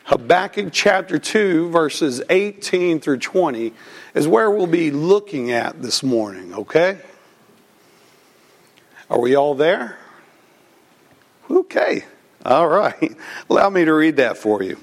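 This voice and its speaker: A man preaching at an unhurried, deliberate pace, his voice slightly froggy as he recovers from a cold. His pitch sits a little high for a man. He reads scripture, prays, and tells stories from the pulpit. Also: sing-song. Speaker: pastor. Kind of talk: sermon with prayer and personal story.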